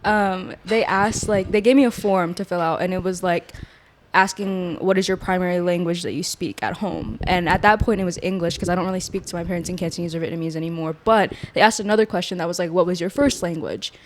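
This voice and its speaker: A woman's voice: on the low side, fairly even intonation, brisk, a little hiss or hum. A young woman from Virginia co-hosting a podcast, telling a story in a chatty way. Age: young adult. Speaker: podcast co-host. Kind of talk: casual chat.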